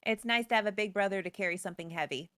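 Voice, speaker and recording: A woman's voice: mid-range voice, very fast, fairly bright tone, clean tone, no echo, clean studio sound. A woman co-hosting as a storyteller, retelling a tale in a casual, playful style. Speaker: co-host and storyteller.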